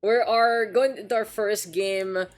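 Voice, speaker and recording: A man's voice: high-pitched, steady tone, measured pace. A man with a Filipino accent casting an esports match on a live stream, chatty and rambling, often repeating himself. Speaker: esports caster.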